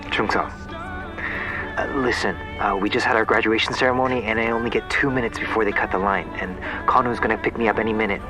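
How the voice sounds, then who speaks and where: An actor playing an earnest, smitten army recruit, speaking hesitantly in a naturalistic audio drama. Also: male voice, fairly low pitch, steady tone, fast talker, music in the background, close to an everyday voice, poor audio. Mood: tender, hesitant.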